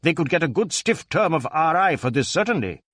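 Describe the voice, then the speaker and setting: A man's voice: on the high side, sing-song, fairly quick, a bit bright, no room sound, clean studio sound, pitched above the performer's own voice. A brusque police superintendent from a crime story, played by a male reader. He speaks, pouncing on words with scornful, pompous authority. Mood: scornful confidence. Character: brusque, scornful, domineering middle-aged Indian police superintendent.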